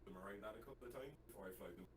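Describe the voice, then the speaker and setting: A man chatting casually as a tabletop game player, very quiet and too far from his microphone. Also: fairly low pitch, very fast. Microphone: fixed mic, too far from mouth. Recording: very faint, far from mic, background noise.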